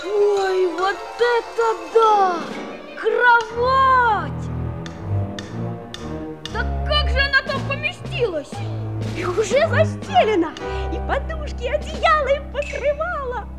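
An actress playing wide-eyed young children in a 1960s audio play, exclaiming and wondering. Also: female voice, extremely high pitch, lively intonation, takes it slowly, loud music behind the voice, full character voice. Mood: amazed, excited.

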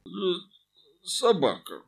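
A woman's voice: slow pace, a crowd in the background, close to an everyday voice. A woman voicing a greedy, drunken, boastful male wolf in a storybook tale, with a laughing, slurred drawl.

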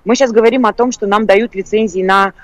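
A woman's voice: medium pitch, steady tone, fairly quick. A woman speaking as an expert guest in a live talk show, explaining her points. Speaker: guest expert, lawyer for online schools.

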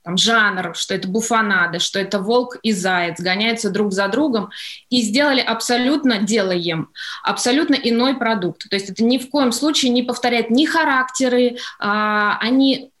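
A woman answering as a guest in a studio radio interview, measured and hesitant, often qualifying her phrasing. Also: a little high, fairly bright tone.

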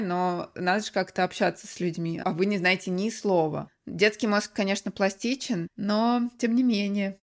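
A woman guest on an interview podcast, a teacher of English, telling her story in a lively, conversational way with frequent fillers. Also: lowish voice. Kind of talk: casual interview talk.

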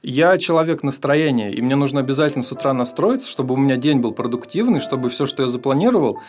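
Man, about 30, mid-pitched guest, earnest, halting delivery. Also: animated, a little mellow. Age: almost 30. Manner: earnest, hesitant, many fillers. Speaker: podcast guest. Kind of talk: casual interview.

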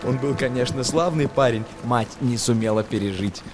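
A man's voice: medium pitch, moderate pace, music in the background. A man, an actor, narrating a tale in dramatic verse for a radio play, with a theatrical storytelling manner.

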